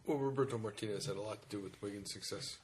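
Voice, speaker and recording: A man's voice: lowish voice, fast, slight background noise. A man co-hosting a sports podcast in casual, joking banter.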